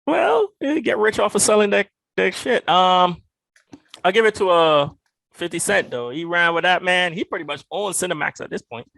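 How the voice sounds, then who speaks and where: Animated man from Barbados, lively pitch. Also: moderate pace, gravelly, no room sound. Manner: loose and animated, swearing freely. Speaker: podcast co-host. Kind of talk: casual chat.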